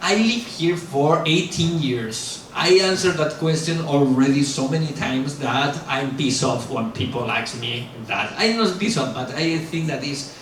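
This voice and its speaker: A man's voice: a little high, measured pace, few pauses, fairly bright tone. A middle-aged man, not a native English speaker, giving a loose, rambling university talk full of fillers and self-corrections, blunt and self-mocking. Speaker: guest speaker at a university lecture. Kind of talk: casual lecture talk with Q&A. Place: large echoey lecture hall. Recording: very echoey hall, distant mic, faint hiss.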